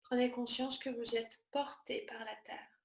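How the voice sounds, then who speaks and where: Slow, high-voiced woman guiding relaxation. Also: level tone, reverberant. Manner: soothing, measured, short phrases with pauses. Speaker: yoga nidra teacher. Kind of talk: guided relaxation meditation.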